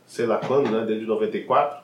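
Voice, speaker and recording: A Brazilian man talking casually about a book, pausing and hunting for words with frequent tag questions. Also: lowish voice. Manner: searching for words, many fillers and tag questions. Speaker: commentator on a book. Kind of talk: casual chat. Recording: echoey room with faint noise.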